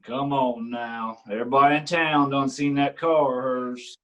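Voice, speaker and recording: A man's voice: mid-range voice, fairly even intonation, measured pace, natural voice. A man playing a smug sheriff. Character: rural county sheriff, middle-aged man, prying and smug. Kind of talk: staged play reading.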